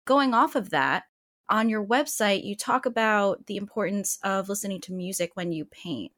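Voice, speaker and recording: A woman's voice: medium pitch, moderate pace, fairly bright tone. A woman hosting an interview, friendly and affirming as she leads into her questions. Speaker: interviewer, host.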